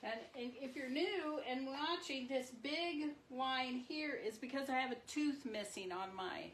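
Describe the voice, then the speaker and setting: A middle-aged woman with a sniffly cold, chatting her way through a live craft demo and softly exclaiming as she works. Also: sits high, measured pace. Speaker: marbling artist streaming live. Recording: echoey room with faint background noise.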